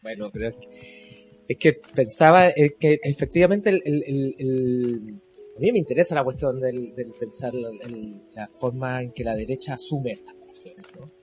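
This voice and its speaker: A man speaking as a panelist in an academic discussion, halting with many restarts. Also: medium pitch, a little mellow, soft background music.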